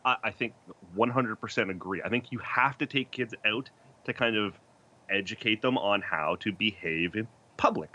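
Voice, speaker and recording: A man's voice: fairly low pitch, normal speed, sharp tone, highs cut off. A man in his thirties, a podcast host joking and laughing as a debate guest over a video call. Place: small indoor room.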